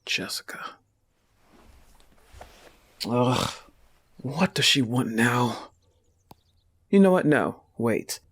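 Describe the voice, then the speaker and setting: Slow, soft-spoken goth wolf girlfriend, acted by a man. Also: mid-range voice, lively intonation, full character voice. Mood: jealous, irritated. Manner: slow, soft-spoken, often dropping to breathy whispers. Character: possessive, tender, teasing goth wolf-girl girlfriend. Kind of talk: intimate audio roleplay.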